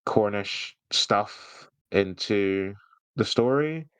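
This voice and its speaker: A man's voice: on the low side, lively intonation, extremely slow, fairly bright tone, clean tone, no room sound. A man co-hosting a podcast, giving reviews in a rambling, jokey, casual way. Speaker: podcast co-host.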